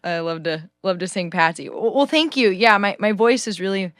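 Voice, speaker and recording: A woman from California with a low alto voice, chatting casually as a guest and laughing often. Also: expressive, fairly quick, fairly bright tone, no room sound, studio quality. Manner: chatty, many "like"s and frequent laughs. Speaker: singer-songwriter guest. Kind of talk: casual interview chat.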